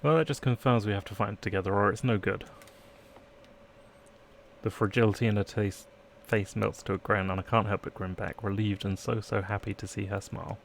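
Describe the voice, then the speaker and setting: Male game streamer, low register with plenty of fry, reading dialogue and narration aloud between playful asides. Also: steady tone, fairly quick, a little hiss or hum. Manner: playful asides, trying out accents. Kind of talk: live let's play, reading game text aloud.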